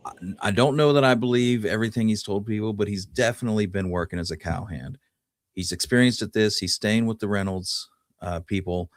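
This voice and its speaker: A man's voice: fairly low pitch, a little fast, dry recording, studio quality. A man co-hosting a history podcast, talking casually and musing his way through the details. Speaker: podcast co-host.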